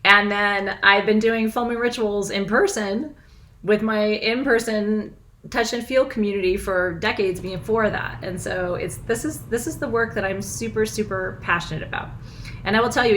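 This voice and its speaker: Hoarse, froggy-voiced middle-aged woman, effusive livestream host, faint room hiss behind her. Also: medium pitch, fairly bright tone, rough recording. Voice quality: froggy, husky. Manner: bubbly, effusive, laughs often. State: hoarse, nearly lost voice. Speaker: spiritual teacher hosting a live full moon ritual. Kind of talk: casual livestream chat. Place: small house, indoors.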